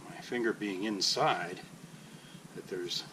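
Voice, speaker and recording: A man's voice: low voice, takes it slowly. A man narrating a casual, unscripted hands-on maintenance tutorial in an instructional way. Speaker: boat maintenance demonstrator. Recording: clearly noisy ambient background.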